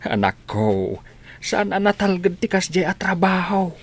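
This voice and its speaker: A woman playing a gentle, concerned old father in a scripted audio drama. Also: on the low side, sing-song, unhurried, full character voice. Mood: worried. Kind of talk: scripted radio-style drama.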